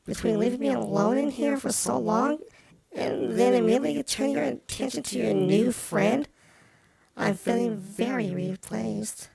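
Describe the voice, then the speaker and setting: A woman's voice: a little high, moderate pace, gravelly, crowd noise. A woman playing a jealous, melodramatic porcelain doll in a game, speaking in a prim, pouty, formal way. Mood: wounded, cross. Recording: loud music behind the voice. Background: music.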